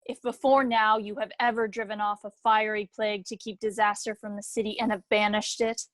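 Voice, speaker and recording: A woman's voice: medium pitch, level tone, fairly quick, fairly bright tone, natural voice. Woman acting solemn Theban chorus supplicant. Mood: reverent. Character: devout Theban chorus woman praying to the gods. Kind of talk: declamatory Greek tragedy reading.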